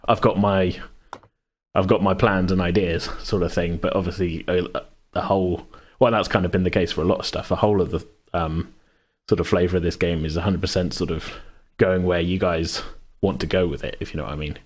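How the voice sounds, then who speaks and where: A man's voice: low-pitched, steady tone, brisk. A man talking casually as host and game master, in a rambling, hesitant, self-correcting way. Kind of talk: casual chat about a tabletop game.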